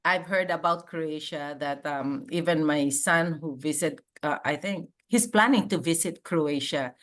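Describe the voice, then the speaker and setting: Woman podcast host on a video call, slow and low, gushing and friendly. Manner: warm, slow, effusive with frequent laughs. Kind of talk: casual interview chat. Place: home in Virginia, on a video call.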